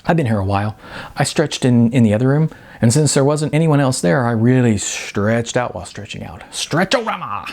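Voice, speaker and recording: A man voicing a cocky, rambling young wannabe fighter in an audiobook, slangy, boastful and excitable. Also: medium pitch, fairly quick, creaky. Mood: cocky bravado. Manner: slangy, boastful, excitable, hesitant filler words.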